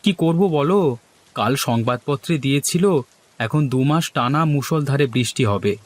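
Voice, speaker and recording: A man's voice: medium pitch, lively intonation, a little slow, close to an everyday voice. A man voicing theatrical Bengali cartoon village men. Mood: worried. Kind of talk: dramatised cartoon dialogue.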